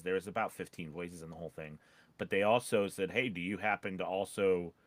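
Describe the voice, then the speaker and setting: A man's voice: deep voice, a bit bright. A man co-hosting a casual talk as a voice-over coach, conversational and rambling, repeating points for emphasis. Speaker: podcast co-host and voice-over coach. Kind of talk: casual chat with advice to voice actors.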